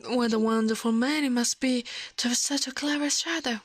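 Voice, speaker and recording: A woman's voice: a little high, fairly even intonation, moderate pace, dry recording, clean studio sound, pitched above the performer's own voice, natural voice. A woman voicing a proud, credulous princess in a storybook, with a gracious, regal manner. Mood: admiring.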